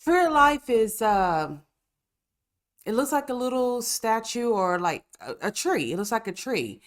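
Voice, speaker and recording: A woman's voice: a little high, very animated, measured pace. Congested woman podcast host. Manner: sassy, laughing, profane storytelling. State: congested, coughing. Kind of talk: casual chat.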